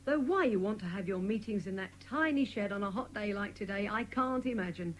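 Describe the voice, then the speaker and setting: A woman playing a brisk, teasing but indulgent mother in a dramatised children's audiobook. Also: medium pitch, slight background noise, lightly acted. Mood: brisk, scolding.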